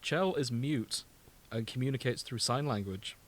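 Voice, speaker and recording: A man's voice: medium pitch, moderate pace, a bit bright. A British man chatting casually as a podcast co-host, with a jokey, bantering manner. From Britain. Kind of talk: casual comedic chat.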